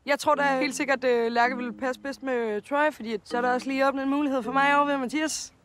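A woman's voice: sits high, fairly even intonation, crowd noise. A woman, a reality show contestant, speaking in an upbeat, cheeky way in a confessional interview.

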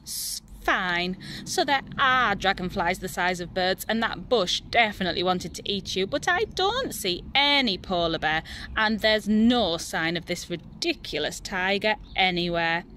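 A woman voicing a stubborn, excitable, doubting little girl from a storybook, with emphatic, exclaiming delivery. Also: medium pitch, a little hiss or hum. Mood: huffy, dismissive. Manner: emphatic, exclaiming, drawn-out words.

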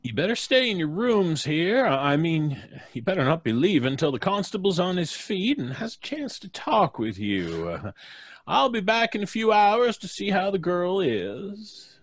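An actor playing a skeptical old country doctor in old-time radio style, stammering and hesitant. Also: male voice, sits high, sing-song, moderate pace. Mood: hesitant, doubting.

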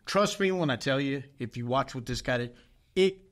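Middle-aged male pastor, podcast co-host, animated and emphatic storyteller. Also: medium pitch, normal speed. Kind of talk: casual chat.

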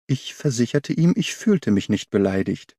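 Audiobook narrator: man as observant young governess telling her mystery, precise delivery, mid-range pitch, dry recording. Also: sing-song, moderate pace, mellow, dry recording. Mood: uneasy, determined. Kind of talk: first-person audiobook narration.

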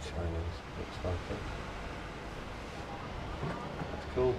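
A man's voice: deep voice, drawn-out words, vocal fry, distant mic, very noisy. A middle-aged man chatting casually and bluntly outdoors while filming on a handheld action camera. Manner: blunt, sweary, informal. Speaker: vlogger filming a hike. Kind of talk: casual chat on the move. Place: outdoors on a rocky cliff and forest trail.

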